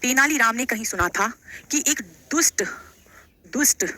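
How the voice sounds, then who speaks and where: A woman's voice: fairly high pitch, normal speed, bright, forceful tone. A woman teaching an exam class live, repeating phrases, prompting students with check-in questions and addressing them with casual endearments. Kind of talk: live online Hindi grammar lesson, question by question.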